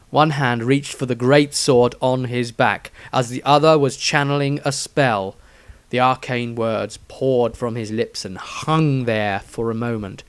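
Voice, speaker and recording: Male audiobook narrator for fantasy fiction: mid-pitched, measured storytelling, dry studio-like sound. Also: dry recording.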